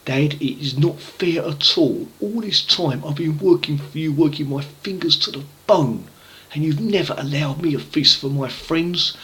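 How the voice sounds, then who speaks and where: Storybook elder brother, played by a male narrator: hardworking, resentful, indignant, bright forceful tone, slightly raised. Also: a little high, moderate pace, voice pushed up, lightly acted, rough recording. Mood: bitter, indignant. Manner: indignant, emphatic complaining.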